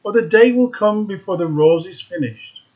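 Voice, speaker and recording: Fairy-tale tree, urgent and raised in pitch, put on by a man reading aloud; slightly dull recording. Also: animated, normal speed, darkish tone. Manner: urgent, pleading.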